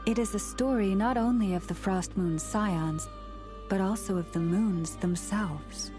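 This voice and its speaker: A solemn, gentle keeper of old legends in a game cutscene, played by a woman. She speaks slowly and deliberately at a middle pitch, like a storyteller. Faint music plays behind her. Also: close to an everyday voice. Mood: solemn, reverent. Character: solemn, gentle female keeper of ancient lore. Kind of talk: scripted game cutscene narration.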